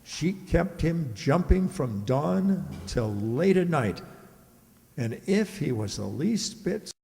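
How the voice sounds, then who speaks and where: A man playing the narrator of a children's radio play, telling the tale in a theatrical, deliberate way. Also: on the high side, sing-song, creaky, warm tone, exaggerated voice. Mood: storytelling. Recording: hall-like reverberant stage sound.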